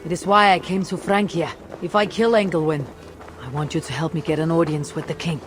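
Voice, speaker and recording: An actor playing a steadfast, earnest Viking warrior in a video game, speaking in a measured, solemn way. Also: male voice, fairly high pitch, steady tone, fairly bright tone, a little hiss or hum, close to an everyday voice. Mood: resolute. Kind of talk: dramatic video game acting.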